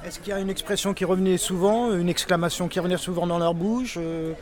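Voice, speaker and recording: A man's voice: sits high, fairly even intonation, fast, a little mellow. A man, a teacher, asking students hesitant questions with many fillers, outdoors on a ferry. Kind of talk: interview questions.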